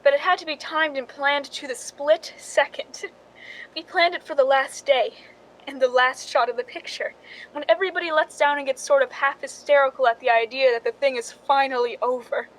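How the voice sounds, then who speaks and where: A woman's voice: high-pitched, steady tone, moderate pace, lightly acted, rough recording. An actress playing a wry, knowing film stand-in who confides her story in a radio drama, in an understated way. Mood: confiding, wry. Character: wry, cool young woman, film stand-in and scheming narrator. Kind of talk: radio drama acting with confessional narration.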